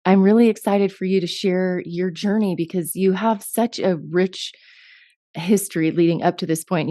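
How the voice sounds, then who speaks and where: Low, mellow-voiced woman hosting a podcast. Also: dry recording, clean studio sound. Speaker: podcast host and ketogenic diet coach. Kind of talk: scripted intro then interview questions.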